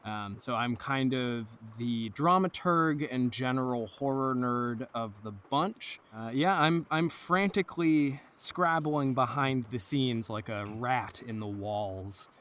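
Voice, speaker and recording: Guy producer chatting in an interview, average pitched voice. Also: slow pace, hardly any pauses, a bit bright. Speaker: audio drama producer and dramaturg. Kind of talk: casual interview talk.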